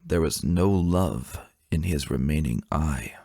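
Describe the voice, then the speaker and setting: A man narrating a fantasy story as a dramatic reading, solemn and deliberate in his storytelling. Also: a bass voice, gravelly, warm tone, no echo.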